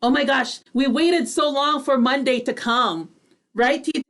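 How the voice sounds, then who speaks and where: A woman's voice: high-pitched, a little slow, a bit bright, slight background noise. A woman with a Filipino accent co-hosting a casual live online radio show, upbeat and chatty. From Philippines.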